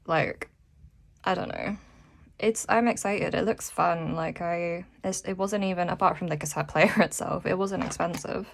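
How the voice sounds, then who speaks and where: Woman, British accent, lowish creaky voice, casual vlog chat, rambling, self-deprecating. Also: fairly even intonation, moderate pace. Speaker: vlogger and small shop owner. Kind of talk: casual chatty vlog update.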